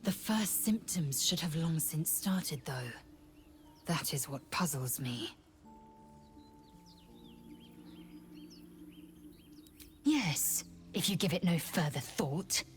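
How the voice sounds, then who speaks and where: A woman's voice: sits low, lively intonation, takes it slowly, soft background music. An actress voicing a haughty, blunt alien warrior woman in a video game, speaking in a condescending, commanding way. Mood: stern.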